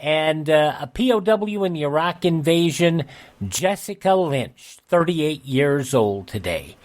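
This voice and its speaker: A man hosting a radio show, reading out an almanac with casual asides in a halting, conversational way. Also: fairly high pitch, lively intonation. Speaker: radio host.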